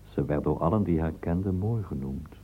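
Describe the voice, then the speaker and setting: A man narrating a radio drama as a florid storyteller, in a measured, gravelly voice. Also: extremely low pitch. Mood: measured, admiring. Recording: narrow, phone-like radio play recording.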